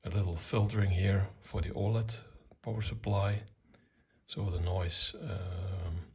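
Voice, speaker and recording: A middle-aged or older man giving an unscripted technical demonstration in a matter-of-fact, hesitant way, with a husky, gravelly voice. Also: deep voice, steady tone, unhurried, a little mellow. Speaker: electronics hobbyist presenter.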